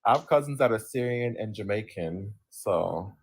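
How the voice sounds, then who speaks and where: Lecturing man with a low, husky voice. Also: animated, moderate pace. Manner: lecturing, insistent, with wry asides. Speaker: livestream guest. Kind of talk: heated livestream debate.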